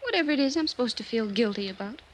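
An actress playing a cheeky, quick-witted young woman in an old-time radio drama, bantering flippantly and evasively. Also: fairly high pitch, expressive. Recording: faintly noisy old radio recording.

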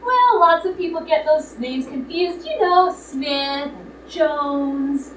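A woman's voice: high voice, expressive, slow, a bit bright, exaggerated voice. A woman playing a flippant, scheming young bride with a wry, theatrical manner in a comic radio play. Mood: playful, evasive. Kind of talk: old-fashioned comic radio play acting. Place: live radio play performance in a room. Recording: distant echoey live stage, clearly noisy.

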